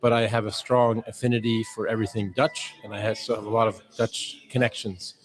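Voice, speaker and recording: A man from Southern California, a club board member, talking casually in an interview with many pauses and fillers. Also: lowish voice, flat delivery, low-quality recording. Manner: hesitant, many fillers and restarts. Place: club bar during a social evening. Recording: loud crowd chatter behind.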